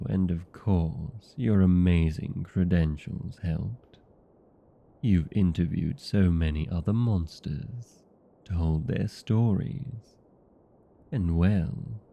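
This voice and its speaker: Man acting as husky low-pitched male vampire, slow delivery, vain and playful, theatrical, chatty, courteous, comedic audio drama. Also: muffled recording, heavily acted. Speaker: interviewee in a comedic audio roleplay.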